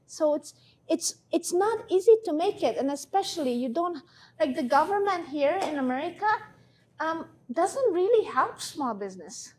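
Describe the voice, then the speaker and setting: A woman's voice: high-pitched, sing-song, normal speed, a little mellow, slight background noise. A middle-aged woman from Hungary with a Hungarian accent, answering in a conversational interview in an emphatic, opinionated way. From Hungary, born in Romania. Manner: emphatic, opinionated, repeats points for stress. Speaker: interview guest, small business owner. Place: classroom, indoors. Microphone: lapel mic.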